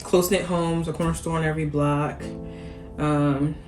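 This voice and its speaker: Low-voiced woman, thoughtful and hedging, in an echoey room with music under. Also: level tone, moderate pace, darkish tone. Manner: thoughtful, hedging with frequent fillers. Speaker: Camden resident interviewee. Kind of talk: documentary interview.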